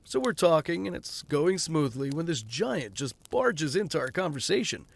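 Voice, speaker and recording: An actor playing a flippant, self-mocking rich playboy in an audio drama. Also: male voice, a little high, very animated, moderate pace, creaky, exaggerated voice. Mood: breezy. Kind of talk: fan audio drama acting.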